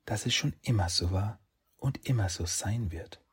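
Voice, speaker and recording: A man voicing a gentle, mystical female fox spirit, speaking softly and often in a whisper. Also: fairly low pitch, normal speed, breathy edge. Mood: soothing, hushed. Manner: soft, hushed, often whispering.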